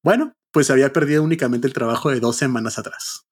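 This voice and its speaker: Mid-pitched man telling stories on a podcast. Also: quick pace, breathy edge. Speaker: podcast co-host. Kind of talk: casual anecdote telling.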